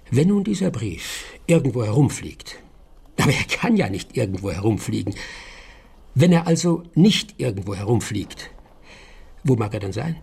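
An actor playing a brilliant, intense detective in a German radio drama, brooding and commanding, with a raspy voice and deliberate, questioning delivery. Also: male voice, medium pitch, darkish tone, exaggerated voice. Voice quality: raspy, gravelly.

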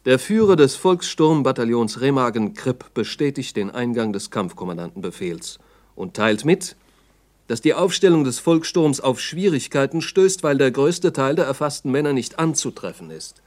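Guy narrating an old 1960s radio drama like a military report, formal and factual, medium pitch. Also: big pitch swings, moderate pace, darkish tone. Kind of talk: scripted documentary narration in 1960s radio play.

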